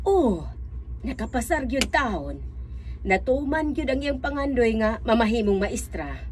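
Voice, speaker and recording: A woman's voice: a little high, very animated, a little slow, slight background noise. A woman playing a middle-aged, anxious village mother in a radio drama, homely and earnest. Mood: proud.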